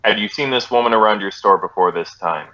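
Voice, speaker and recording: An actor playing a terse, businesslike police detective sergeant in a deadpan, clipped 1950s radio style. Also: male voice, lowish voice, brisk. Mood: businesslike, polite. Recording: dull vintage radio recording.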